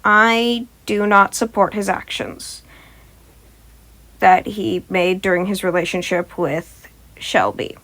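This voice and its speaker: A woman with a medium-pitched voice speaks as the reader and host of a story channel. Her style moves between narration and informal talk to listeners. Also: fairly even intonation, slow, fairly bright tone. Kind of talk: scripted story narration and casual asides to listeners.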